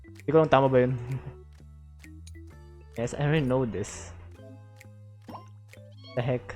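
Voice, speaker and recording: A woman's voice: a bass voice, fairly even intonation, unhurried, dark tone, faint music behind. A woman with a Filipino accent, reacting casually as she livestreams a game. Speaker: streamer.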